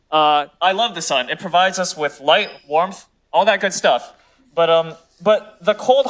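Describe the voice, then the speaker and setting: A man's voice: sits high, fairly bright tone. A man in his twenties, a graduate student, giving an informal and enthusiastic public science talk in a large lecture hall. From China and the US. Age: 20s. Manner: enthusiastic, checks in with "right?".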